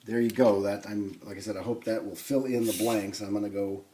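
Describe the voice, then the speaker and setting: A man hosting a hands-on repair video, explaining things informally and thinking aloud with hesitant pauses. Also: on the low side, steady tone, dark tone, slight background noise. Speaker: host of a record player restoration video. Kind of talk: casual hands-on demonstration.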